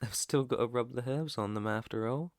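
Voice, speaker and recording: A British man playing a protective, gentle, clueless alpha werewolf in an intimate ASMR roleplay, speaking softly and reassuringly close to the mic. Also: mid-range voice, no echo, lightly acted. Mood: concerned, gentle. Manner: soft, tender, unhurried, reassuring. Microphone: close microphone, dry.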